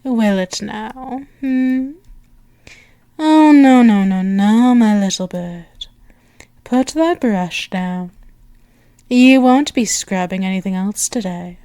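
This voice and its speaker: Woman playing a slow, seductive, imperious demon princess. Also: mid-range voice, mellow, clean tone, no room sound, studio quality. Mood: smitten, teasing. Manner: slow, lingering, regal, doting endearments, often breaking into whispers. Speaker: audio roleplay performer. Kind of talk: ASMR roleplay acting, dramatic monologue.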